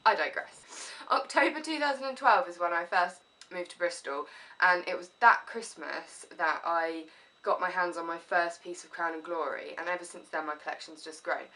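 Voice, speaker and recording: A woman's voice: fairly low pitch, moderate pace, bright, forceful tone. A chatty woman vlogging with a stuffy, sniffly head cold, rambling enthusiastically. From England. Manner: rambling, enthusiastic, self-deprecating. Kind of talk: casual chatty haul video. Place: room at home. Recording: voice a little distant from the mic.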